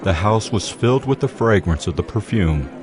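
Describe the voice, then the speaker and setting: Deep-voiced man narrating scripture. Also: animated, normal speed. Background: music.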